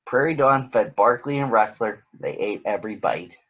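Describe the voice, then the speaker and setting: A man's voice: on the low side, expressive, moderate pace, breathy edge, dull audio. A man reading a picture book aloud to children. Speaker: children's story reader.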